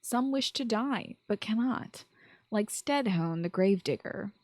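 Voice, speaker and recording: A woman's voice: mid-range voice, expressive, measured pace, darkish tone, dry recording. A woman hosting a video game podcast, speaking in an informal, enthusiastic way that mixes chat with scripted commentary. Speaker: podcast host.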